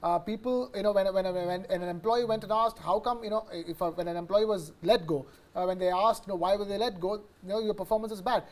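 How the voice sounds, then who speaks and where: Man, high voice, Indian accent, halting delivery, news correspondent commentary. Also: fairly even intonation. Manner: halting, repeats words as he explains. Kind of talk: live, unscripted news commentary.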